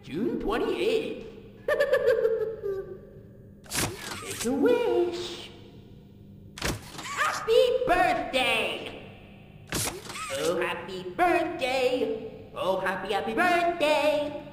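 Giggly toy, sing-song, high lively voice, slow, echoey game audio with music. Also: far from the mic, noisy background, exaggerated voice. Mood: cheerful. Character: giggly birthday toy, overly cheerful.

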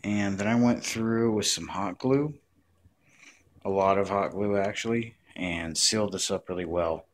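A man's voice: fairly low pitch, a little slow, slight background noise. A man giving a casual hands-on craft tutorial, explaining step by step in plain words.

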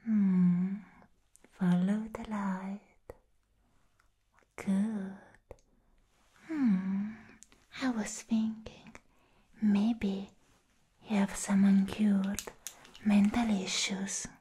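Woman acting a breathy, whispering sly doctor. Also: mid-range voice, flat delivery, warm tone, exaggerated voice. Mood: sly, coy. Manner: slow, soothing, coy. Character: attentive, smooth-talking woman doctor with sly madness. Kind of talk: ASMR roleplay. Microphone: close microphone.

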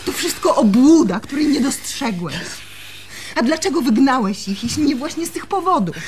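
A woman's voice: high voice, expressive, moderate pace, a little mellow, slight background noise. An actress in a Polish radio play, playing an anxious, haunted young woman in love, with intimate, brooding delivery. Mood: reproachful.

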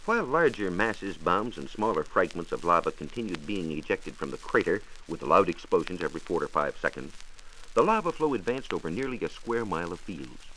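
A man's voice: low voice, very animated, fairly quick, pushed and bright. An American man, a science reporter narrating a scripted 1940s radio programme in a formal, precise, emphatic way. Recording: faintly noisy 1940s radio transcription disc.

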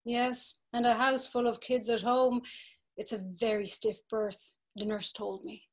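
A woman's voice: fairly high pitch, flat delivery, unhurried. A woman playing a gossipy, fretful housewife. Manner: gossipy and worried chatter. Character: chatty, fretful Dublin housewife. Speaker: actress. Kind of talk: dramatic reading over video call. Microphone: webcam on a video call.

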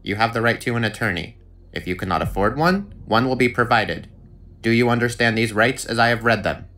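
A man's voice: mid-range voice, animated, fairly quick, bright, forceful tone. A man playing a firm, commanding police detective in an audio drama. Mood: stern. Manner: firm, authoritative.

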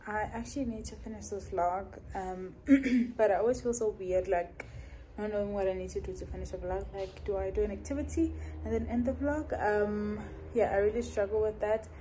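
Brief: young woman, chatty rambling vlogger voice, mid pitch, home clip with clear background noise. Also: fairly even intonation, brisk, warm tone, low-quality recording. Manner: chatty, rambling, thinks aloud. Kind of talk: casual vlog chat to camera. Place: at home, partly in a kitchen.

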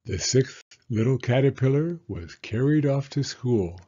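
A man in his sixties reading a children's picture book aloud in a measured storytelling manner. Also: mid-range voice, lively intonation, mellow, husky, dull audio. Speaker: story reader and host, elementary school teacher.

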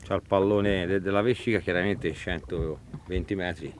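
A man's voice: sits low, steady tone, normal speed, slight background noise. A man hosting a fishing show, chatting casually on a small boat at sea and coaching his fellow angler.

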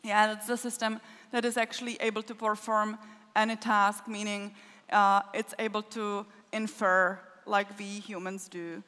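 A woman's voice: medium pitch, flat delivery, measured pace, a bit bright. A woman answering audience questions as a conference keynote speaker, in an informal, joking way. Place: large wooden concert hall with audience. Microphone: stage microphone. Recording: echoey concert hall.

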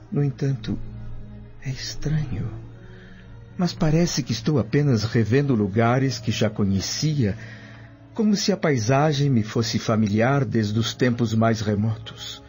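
An actor plays an earnest male servant of ancient Rome in a radio drama. He speaks slowly and formally, reflective and mellow, with soft music behind him. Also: medium pitch.

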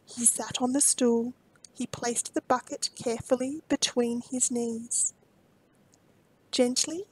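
A woman reading a children's storybook aloud expressively, with deliberate phrasing and dramatic emphasis. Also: a little high, husky, poor audio.

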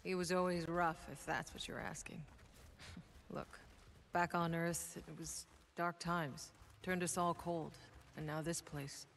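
Tough game mercenary over loud ambience. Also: female voice, lowish voice, level tone, slow pace, gravelly, a little hiss or hum. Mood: frank, weary. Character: tough, blunt female mercenary leader. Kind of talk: video game voice acting.

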